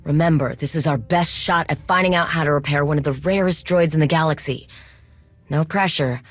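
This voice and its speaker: A woman's voice: sits low, fairly quick, dry recording, soft background music. A woman playing an earnest, anxious conspirator in a video game, speaking in an urgent, conversational way. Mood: earnest, encouraging. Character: earnest, anxious conspirator planning a risky con. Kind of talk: video game cutscene acting.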